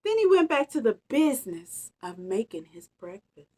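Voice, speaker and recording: A woman reading a picture book aloud, expressive and playful, with chatty casual asides to children. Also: on the high side, normal speed. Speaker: storytime reader.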